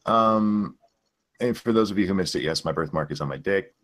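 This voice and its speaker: A man's voice: low voice, normal speed, a bit bright. A man hosting a livestream in blunt, crude, casual chat. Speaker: livestream host.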